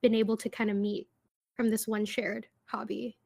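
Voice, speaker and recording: A young woman in her twenties chats loosely on a podcast, her voice sitting a little higher than most. She talks casually, full of "like" fillers, and laughs easily between thoughts. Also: flat delivery, moderate pace, vocal fry, a little mellow. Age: 20s. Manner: chatty, many "like" fillers, laughs often. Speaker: podcast co-host. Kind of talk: casual chat.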